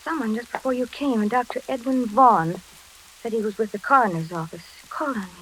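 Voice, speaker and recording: A woman's voice: fairly high pitch, fairly quick, a little hiss or hum, lightly acted, poor audio. An actress playing an earnest, anxious but determined young woman in 1940s radio drama style. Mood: puzzled, uneasy. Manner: earnest, hesitant then insistent.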